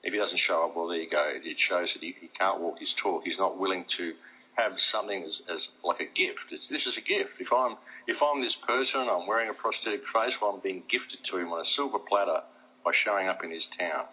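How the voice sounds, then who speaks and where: A man's voice: low-pitched, brisk, pushed and bright, breathy edge. A middle-aged man with a South Australian accent talking emphatically and insistently as a guest in a conversational interview. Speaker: talk show guest. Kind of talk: animated conversational monologue. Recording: muffled lo-fi internet radio audio.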